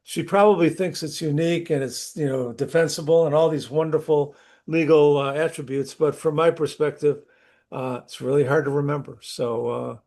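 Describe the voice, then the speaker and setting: A middle-aged man, a university instructor, opening an online class session while losing his voice from a cold, talking conversationally and clearing his throat. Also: a little high, fairly even intonation, normal speed, darkish tone. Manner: conversational, clears throat often, restarts sentences. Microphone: computer mic on a video call.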